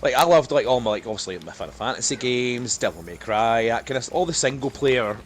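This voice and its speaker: A man's voice: medium pitch, expressive, brisk. A man in a casual, jokey, sweary chat with friends as a podcast co-host.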